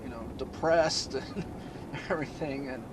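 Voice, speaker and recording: A man hosting a DIY car channel, chatting casually in a flat, low-key monotone from inside a moving car. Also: normal speed, noisy background. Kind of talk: casual vlog talk while driving.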